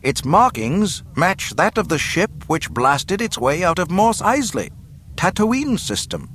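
An urgent male military officer in an audiobook space saga, put on by a male narrator. He reports formally in a clipped, raised-pitch voice with slight noise behind. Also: very animated, measured pace, a bit bright, full character voice. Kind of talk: audiobook character acting.

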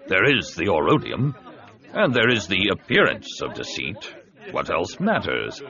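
A man voicing a big, scheming alien senator in an audiobook, with measured, persuasive delivery. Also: sits low, huge pitch swings, lo-fi sound, a crowd in the background, heavily acted. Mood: smug scheming. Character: scheming, soothing, heavyset male alien senator and host.

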